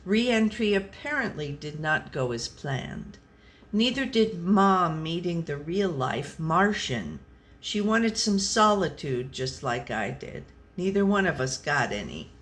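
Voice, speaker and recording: Slow, deadpan storybook pet read by a woman. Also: lowish voice, exaggerated voice. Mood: dry, rueful. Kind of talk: scripted storybook narration.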